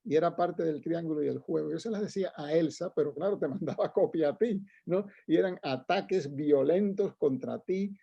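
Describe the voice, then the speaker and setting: A man's voice: on the high side, normal speed, gravelly, few pauses, slightly rough, no echo, highs cut off. An older man, a poet and retired professor, speaking casually and digressively at an online book launch. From Cuba. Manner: chatty, digressive, frequent laughs and tags like "¿no?".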